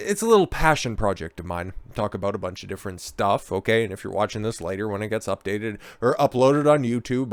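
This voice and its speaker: Male vlogger, deep-ish, raspy and under the weather, casual rambling delivery, dry close sound. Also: dry recording. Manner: rambling, tags sentences with "okay?". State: a bit hoarse from being under the weather. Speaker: channel host. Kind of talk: casual talking-head monologue.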